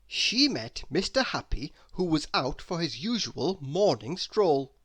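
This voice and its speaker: A woman reading a children's story aloud, expressive and deliberate, with dramatic emphasis. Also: low-pitched, slightly rough. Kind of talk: children's read-aloud narration.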